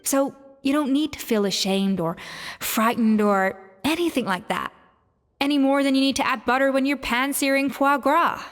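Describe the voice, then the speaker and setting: A woman's voice: high voice, moderate pace, close to an everyday voice. A woman playing a blunt, imperious young pastry chef in a game, with a theatrical no-nonsense manner. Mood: firm, forgiving. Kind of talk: English game voice acting. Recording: long reverb over background music.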